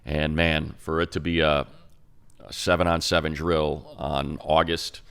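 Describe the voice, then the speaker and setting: Very deep gravelly American man. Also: little pitch movement, unhurried, fairly bright tone. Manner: conversational, thinking aloud with restarts. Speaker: radio play-by-play announcer and co-host. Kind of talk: casual sports talk.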